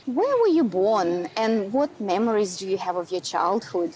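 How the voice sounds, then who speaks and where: A woman's voice: mid-range voice, expressive, normal speed, darkish tone, low-quality recording. A woman hosting an interview show, introducing her guest and asking questions.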